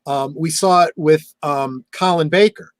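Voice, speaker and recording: A man talking in a casual fan panel discussion, earnest and measured, often restarting his sentences. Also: fairly high pitch, no room sound.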